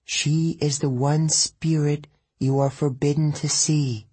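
Solemn protective ghost girl, soft and high, measured, read by a man. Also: little pitch movement, husky, dry recording. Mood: warning. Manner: soft, warning, slow.

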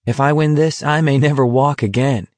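A man's voice: mid-range voice, moderate pace, darkish tone, no echo, voice pushed up, close to an everyday voice. A man voicing a brash, cocky young man who boasts breezily in audiobook dialogue. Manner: breezy, slangy, emphatic.

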